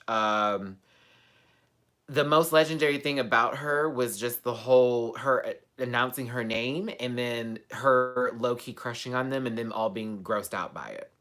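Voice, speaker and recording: A man from Texas hosts a live chat and runs through reality TV contestants with sassy, profane gossip. His voice is bright and cutting, at a middling pitch. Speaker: host.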